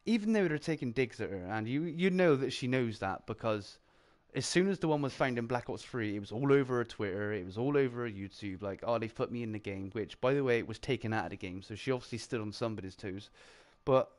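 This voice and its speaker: A man's voice: mid-range voice, quick pace, hardly any pauses. Chatty British man laughing through stream talk. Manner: animated, chatty, laughs often, heavy filler "like". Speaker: streamer and show host. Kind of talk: casual round-table chat.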